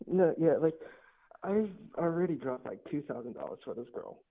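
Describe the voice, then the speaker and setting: A man's voice: on the high side, animated, moderate pace. A 19-year-old man calling in for advice, hesitant and rambling. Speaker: caller. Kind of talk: phone call-in. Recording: tinny phone line.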